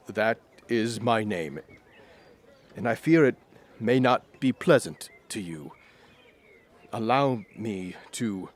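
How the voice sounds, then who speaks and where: An actor playing a reformed old miser in an audio drama, effusive and courtly, generous and humble. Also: male voice, medium pitch. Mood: humble, earnest. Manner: exclamatory, effusive, old-fashioned courtly speech.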